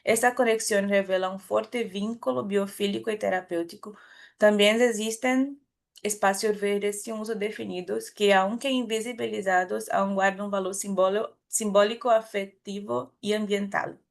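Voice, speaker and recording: Woman with a Brazilian Portuguese accent, halting. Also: medium pitch, steady tone, gravelly. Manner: careful, halting, with restarts and self-corrections. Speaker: academic presenter. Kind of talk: conference talk.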